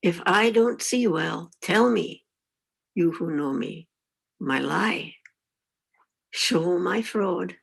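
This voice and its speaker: A woman with a creaky, husky voice reading poetry very slowly. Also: fairly low pitch.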